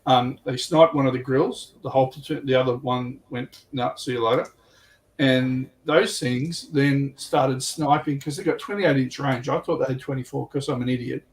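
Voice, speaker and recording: A man with a medium-pitched voice talks informally through a wargame he played. His delivery is halting and slangy, with a readiness to mock himself. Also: steady tone, rough recording. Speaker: tabletop wargame player on a livestream. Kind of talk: casual chat, informal game recap.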